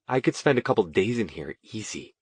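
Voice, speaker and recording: A man's voice: fairly low pitch, very animated, fairly quick, slightly rough, heavily acted. A man voicing an eager, easygoing young woman apprentice mage in an audiobook, informal and enthusiastic. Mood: amazed.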